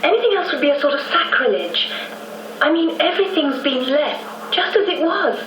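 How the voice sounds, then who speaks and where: Earnest high-voiced young lady, very noisy recording. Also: expressive, moderate pace. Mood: anxious, earnest. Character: earnest, adoring young upper-class daughter of an expedition patron. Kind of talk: 1990s radio drama acting. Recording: very noisy, echoey radio copy, distant.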